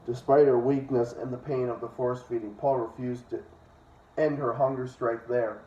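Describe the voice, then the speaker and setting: A man's voice: medium pitch, steady tone, moderate pace, mellow. A man reading a book aloud and breaking in with opinionated, sometimes profane commentary. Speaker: online reader and commentator. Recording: echoey room, distant dull microphone.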